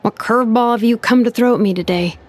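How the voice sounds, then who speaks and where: A woman voicing a wry young engineer who muses aloud in an animated way. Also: fairly high pitch, fast talker, natural voice.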